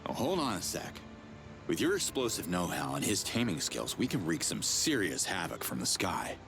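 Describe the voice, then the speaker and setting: An actor playing a brash, wisecracking hero in a video game, talking in a casual, sarcastic and cocky way. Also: male voice, sits low, huge pitch swings, normal speed, over music, exaggerated voice. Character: wisecracking human man, brash heroic outsider. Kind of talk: video game cutscene acting.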